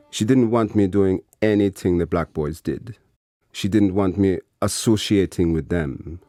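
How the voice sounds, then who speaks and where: Trinidadian activist, very low voice, measured resentful memoir, mellow tone, string music underneath. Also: male voice, no room sound. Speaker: actor reading an autobiography. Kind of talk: dramatic autobiography narration.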